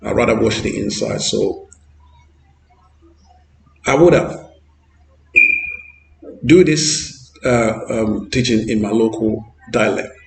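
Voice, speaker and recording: A man's voice: on the low side, takes it slowly, vocal fry, pauses often, echoey room, rough recording. Earnest Ghanaian man vlogging outdoors. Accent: Ghanaian English. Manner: earnest, repetitive, with fillers. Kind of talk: walking street vlog. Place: outdoors on a street.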